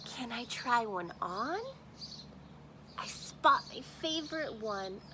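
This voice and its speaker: A woman teacher reading a picture book aloud to children expressively, pausing to think aloud and pose questions. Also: a little high, lively intonation, background noise.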